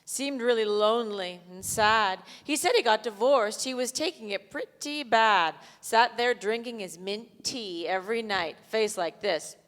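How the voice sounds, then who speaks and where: A woman's voice: fairly high pitch, a little slow, hardly any pauses, a bit bright. A woman playing a brash, crude, flirtatious bar regular in a live staged play reading, blunt and casual in manner. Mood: chatty. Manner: foul-mouthed, casual and blunt. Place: theatre stage before a live audience. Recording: reverberant theatre hall, live stage.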